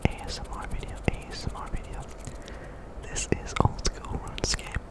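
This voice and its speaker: A young man speaking in a breathy whisper, giving casual, rambling ASMR commentary over gameplay and often repeating words. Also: moderate pace. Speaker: host of a gaming ASMR channel.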